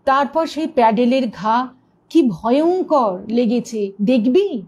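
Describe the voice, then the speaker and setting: A woman's voice: a little high, lively intonation, takes it slowly, darkish tone, breathy edge, full character voice. A woman storyteller voicing the eerie ghost of a man in a ghost story, with a grim, dramatic delivery. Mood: eerie, challenging.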